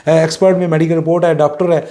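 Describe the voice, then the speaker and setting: Higher-voiced Pakistani man lecturing on law, mellow tone. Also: level tone. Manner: mixes Urdu with English legal terms, slows down when reading citations. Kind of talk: informal lecture, reading out English judgments and explaining them.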